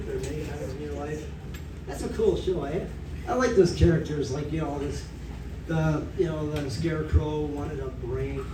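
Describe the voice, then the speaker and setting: A man in his sixties doing a stand-up comedy set, rambling and halting, with lots of fillers. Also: on the high side, distant mic. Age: 60s. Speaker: stand-up comic. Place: comedy club stage, audience laughing. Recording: echoey club room recorded from afar with noise.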